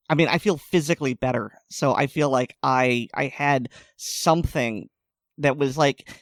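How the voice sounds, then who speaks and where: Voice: mid-range voice, dry recording, clean studio sound. A podcast co-host chatting casually, rambling and restarting sentences, laughing often. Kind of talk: casual chat.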